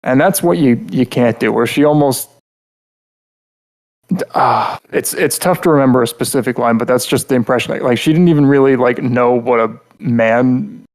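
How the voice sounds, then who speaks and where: A man co-hosting a podcast, talking through a casual critique in a rambling, halting way and often repeating words mid-thought. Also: mid-range voice, darkish tone. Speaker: podcast host reviewing game characters.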